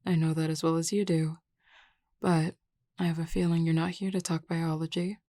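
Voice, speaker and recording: A woman playing a flirty, teasing, self-assured werewolf pack beta in an audio roleplay, talking in a casually confident, unhurried way. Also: low-pitched, flat delivery, dark tone, dry recording. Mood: relaxed, assured. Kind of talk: scripted audio roleplay acting.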